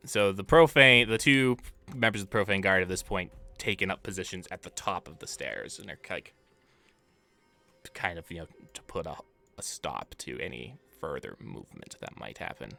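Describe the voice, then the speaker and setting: Bright-voiced man running a tabletop game. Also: low-pitched, animated, moderate pace, vocal fry, soft background music. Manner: descriptive, loose, lots of fillers. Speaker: game master.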